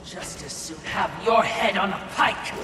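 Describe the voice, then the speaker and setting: An actress playing a scornful, obsequious servant spirit in a video game, incredulous and sneering. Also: female voice, mid-range voice, a little slow, sharp tone. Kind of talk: video game cutscene acting. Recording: noisy, echoey hall from far off.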